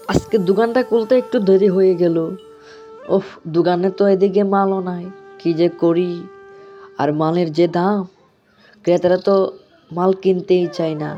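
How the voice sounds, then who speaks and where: A man's voice: high-pitched, fairly even intonation, normal speed, pauses often, faint music behind, lightly acted. A man playing a harried, complaining village shopkeeper in a cartoon, with a Bangladeshi accent and a curt manner. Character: harried, irritable male village shopkeeper, the nephew. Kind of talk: amateur cartoon dialogue. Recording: muffled, dull lo-fi audio.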